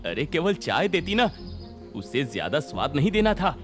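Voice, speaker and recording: Man acting a flustered, animated greedy village milkman. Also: a little high, moderate pace. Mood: irritated. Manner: flustered, stammering, exclamatory. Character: greedy, nervous, irritable village milkman husband. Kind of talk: children's moral story cartoon dubbing. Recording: music behind the voice.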